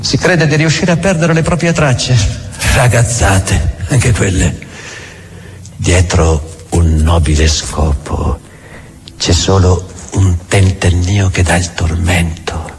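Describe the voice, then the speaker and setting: An actor playing a world-weary, mocking devil in a theatrical radio drama monologue, brooding and sardonic, halting and then rushing. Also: male voice, low-pitched, steady tone, soft background music. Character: devil, vulgar world-weary mocking tempter musing on doubt.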